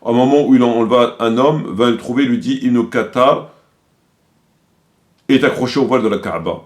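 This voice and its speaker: A man's voice: medium pitch, moderate pace. A man delivering critical commentary, quoting sources didactically and repeating his points for emphasis.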